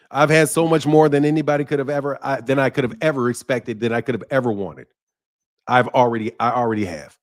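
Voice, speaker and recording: A man's voice: mid-range voice, brisk. Fired-up man in his fifties. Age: 50s. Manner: blunt, fired-up, laughs often. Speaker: boxing channel host. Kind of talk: live commentary to viewers.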